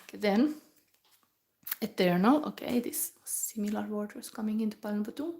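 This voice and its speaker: A woman from Finland with a Finnish accent, giving a rambling, musing tarot reading in English that often drops to a soft whisper. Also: medium pitch, normal speed. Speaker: tarot reader. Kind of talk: live pick-a-pile tarot reading chat.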